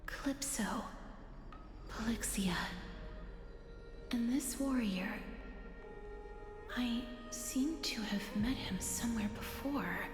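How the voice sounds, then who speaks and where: A woman voicing a gentle, thoughtful young heroine in a video game, breathy, hesitant and wondering. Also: on the high side, takes it slowly, pauses often. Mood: wondering, pensive. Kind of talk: dramatic video game voice acting. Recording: long hall reverb, faint music.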